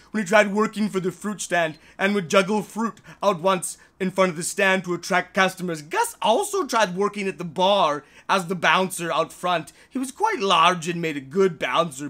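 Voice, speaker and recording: Lively, bright-voiced animated storyteller. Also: mid-range voice. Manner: theatrical, halting with repeated words and joking asides. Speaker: author reading his own short story. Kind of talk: animated children's bedtime storytelling.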